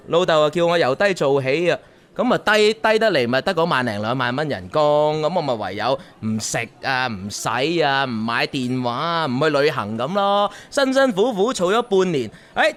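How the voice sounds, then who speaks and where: A boastful young heir in a radio drama, speaking in a high, bright, sharp voice with a Hong Kong accent. He is showy and condescending, tossing in smug tags as he goes. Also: animated, normal speed. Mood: self-satisfied boasting. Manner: showy, smug, peppers talk with tags and English.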